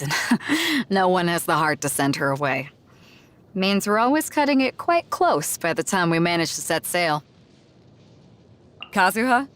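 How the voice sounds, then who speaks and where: An actress voicing a bold, generous pirate fleet captain in a video game, with a hearty, self-assured swagger and easygoing manner. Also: female voice, mid-range voice, a bit bright. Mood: fond, amused, then proud, hearty. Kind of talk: video game character voice lines.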